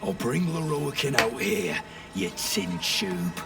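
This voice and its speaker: A man's voice: fairly high pitch, big pitch swings, slow pace, gravelly, exaggerated voice. A man playing a brash, greedy, foul-mouthed rogue in a fantasy game, swaggering and irritable. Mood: angry, demanding. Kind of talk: video game voice acting. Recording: distant, loud music behind.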